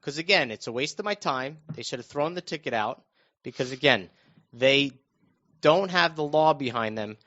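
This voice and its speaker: A middle-aged American man telling stories casually on a talk show, halting and restarting his phrases as he goes. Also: mid-range voice, expressive, bright, forceful tone. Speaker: radio show panelist recounting his own news. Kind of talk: casual conversational storytelling. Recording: dull, lo-fi muffled sound.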